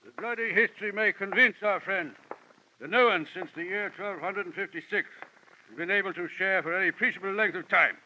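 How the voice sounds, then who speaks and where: Fat pompous crook in an old radio show, wordy n formal, kinda high voice, dull lo-fi sound. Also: male voice, a little fast, sharp tone, a little hiss or hum. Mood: pompous, relishing. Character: pompous, portly, suavely menacing male gem-dealing crook. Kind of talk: old-time radio detective drama acting.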